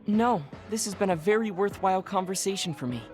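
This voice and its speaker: An actor playing a calm, self-effacing young man in a video game, polite and composed. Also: sits high, a little fast, natural voice. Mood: calm, wistful.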